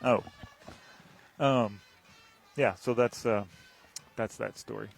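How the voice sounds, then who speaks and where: A man's voice: medium pitch, steady tone, slow pace, creaky, faint music behind. A man with an American accent giving casual color commentary at a live football game in a wry, conversational, storytelling way.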